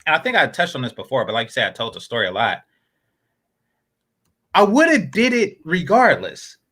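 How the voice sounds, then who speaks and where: A man talking in a casual podcast chat, rambling with many fillers and restarts, often dropping into low, quiet asides. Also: wildly swooping pitch, normal speed.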